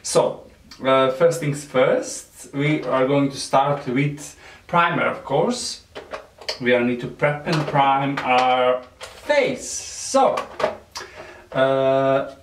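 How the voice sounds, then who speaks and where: A man with a Serbian accent, whose first language is Serbian, gives a makeup tutorial in halting English with many fillers and soft nervous laughs. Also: mid-range voice, a little hiss or hum. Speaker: beauty vlogger. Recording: echoey room, mic at a distance.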